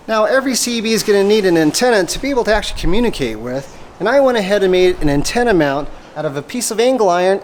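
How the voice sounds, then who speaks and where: A man with a slightly high voice walks through a hands-on installation tutorial. He explains each step in plain, casual language. Clear ambient noise sits behind his voice. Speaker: host of a vehicle installation how-to.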